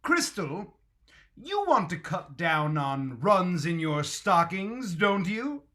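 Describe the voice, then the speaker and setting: Man as a bright, measured old-time radio announcer. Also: sits high, big pitch swings, exaggerated voice. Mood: polished. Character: genial, dramatic 1940s radio announcer and pitchman.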